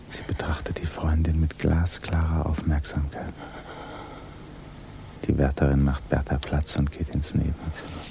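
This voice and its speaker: A man narrating a 1950s radio drama in a hushed, measured, grave and restrained manner. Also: a bass voice, creaky, exaggerated voice. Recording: noisy 1950s radio recording.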